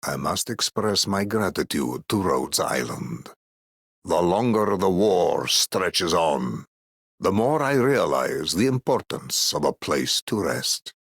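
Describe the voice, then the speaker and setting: A solemn, battle-worn warrior for a game. He is low and gravelly, with slow, courteous phrasing and a husky edge that rises and falls expressively. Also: very animated, fairly bright tone, no echo, studio quality, heavily acted. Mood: solemn gratitude. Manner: measured, solemn, formal. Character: grave, courteous, war-weary male blade fighter. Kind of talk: scripted game character monologue.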